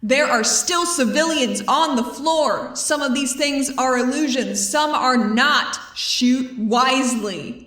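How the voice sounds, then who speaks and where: Bright, commanding woman fighter, played by a woman. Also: high voice, takes it slowly, reverberant, big-room sound, pitched above the performer's own voice. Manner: clipped, commanding. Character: resolute woman fighter giving terse battle orders. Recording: music behind the voice.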